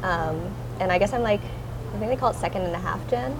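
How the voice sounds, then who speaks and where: A woman's voice: mid-range voice, fast talker. A young woman, an art student, answers interview questions casually and hesitantly, with many "like" and "um" fillers. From Toronto area, Canada. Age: young adult. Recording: noisy recording.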